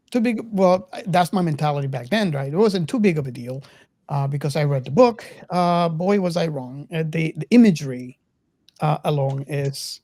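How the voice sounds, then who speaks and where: A woman with a low, dark voice hosts a casual film discussion. She speaks haltingly, often repeating words, and the recording is dry and close. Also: dry recording. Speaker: podcast host.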